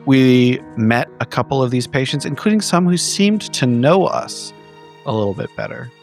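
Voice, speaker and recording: A man narrates a horror roleplaying recap in a mid-pitched voice. His phrasing is measured, formal and wordy, like careful storytelling, with music playing underneath. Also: lively intonation. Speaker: player in a tabletop horror roleplaying game. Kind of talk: in-character recap narration for an actual play. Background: background music.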